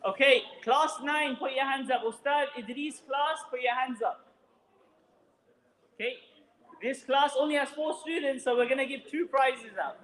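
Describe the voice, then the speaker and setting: A man announcing prizes to children at a mosque ceremony, calling out names loudly and projecting his voice over the room. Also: extremely high pitch, normal speed. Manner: loud, projecting over a crowd. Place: mosque hall. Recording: echoey hall.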